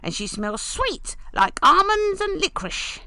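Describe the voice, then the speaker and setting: A woman's voice: very high voice, big pitch swings, a little slow, pushed and bright, no echo, exaggerated voice. A woman voicing a dotty, kindly old grandmotherly storyteller in a comic dub, dreamy and confiding. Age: older.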